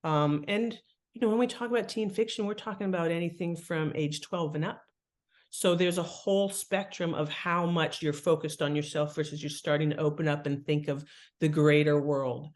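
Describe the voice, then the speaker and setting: A woman speaks as an interview guest at a middling pitch. She explains her points in an animated, conversational way, like an author talking through her craft. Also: moderate pace. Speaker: guest, fiction writing author.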